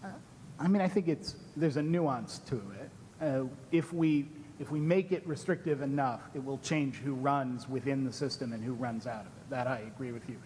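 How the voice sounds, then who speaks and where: A man's voice: moderate pace, darkish tone. Man answering questions in reverberant hall. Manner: hedging, nuanced, conversational. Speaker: advocate answering commissioner questions. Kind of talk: unscripted answers at public hearing. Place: large indoor public hearing room. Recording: echoey hall, rumbling room noise.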